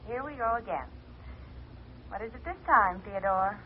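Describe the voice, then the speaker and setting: A woman's voice: mid-range voice, lively intonation, normal speed, lightly acted. An actress playing a cool, teasing young woman with dry, playful banter in an old radio drama. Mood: dryly teasing. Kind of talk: vintage radio drama acting. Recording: muffled, noisy old radio, distant phone sound.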